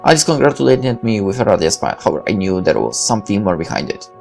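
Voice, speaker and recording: A man's voice: fairly low pitch, very animated, fast talker, vocal fry, darkish tone, faint music behind. A man reading first-person visual novel narration aloud in a dramatic style.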